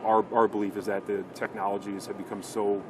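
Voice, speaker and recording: Low, even-toned man in corporate interview. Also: brisk. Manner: earnest corporate sales talk. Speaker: company representative being interviewed. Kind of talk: informal business interview at a trade show. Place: trade show floor. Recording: clear show-floor background noise.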